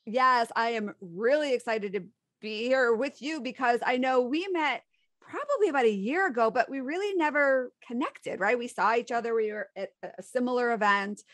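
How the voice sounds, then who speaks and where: Podcast host, woman, bright higher voice, upbeat, dry close mic. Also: moderate pace, dry recording. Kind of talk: casual interview chat.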